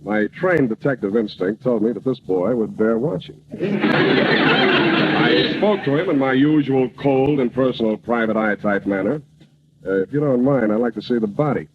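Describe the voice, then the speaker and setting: An actor playing a hard-boiled, wisecracking private eye with cool deadpan delivery in an old-time radio comedy. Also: male voice, mid-range voice, moderate pace, lightly acted. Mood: tough, accusing. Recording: noisy, dull old radio broadcast recording.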